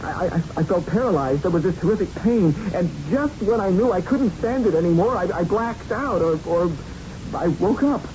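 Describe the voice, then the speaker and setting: Haunted young boxer, muffled recording. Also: male voice, sits high, animated. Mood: frightened, shaken. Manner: halting, intense storytelling with streetwise phrasing. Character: haunted young male boxer, tough, plain-spoken, shaken. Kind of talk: 1970s radio drama acting. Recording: muffled, noisy 1970s radio recording.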